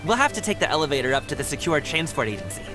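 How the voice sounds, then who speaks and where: A woman voicing a friendly, energetic and chatty young female guard in a video game, with a casual, considerate way of talking. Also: sits low, racing. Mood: chatty, cheerful. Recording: game music underneath.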